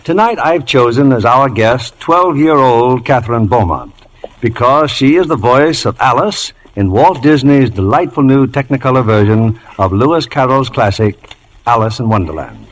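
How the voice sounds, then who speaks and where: A man's voice: mid-range voice, animated, normal speed, rough recording. A man hosting a 1950s radio show, delivering scripted announcements and interview questions.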